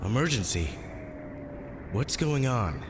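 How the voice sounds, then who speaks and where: A man's voice: medium pitch, very animated, slow. A man playing a harried, wry general turned politician in a sci-fi audio drama, casual and exasperated in manner. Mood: surprised. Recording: long hall reverb, noisy ambience behind.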